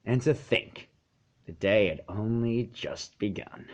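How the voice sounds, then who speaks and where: A man reading a story aloud as narrator, expressive, with dramatic, deliberate emphasis. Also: fairly low pitch, slight background noise. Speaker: fan fiction narrator.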